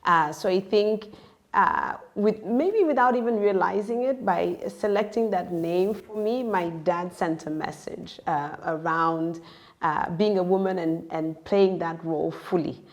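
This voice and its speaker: Woman, lower voice, from Senegal and the US, reflective interview guest, pausing as she thinks. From born in Senegal, grew up in the United States. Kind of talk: reflective personal podcast conversation.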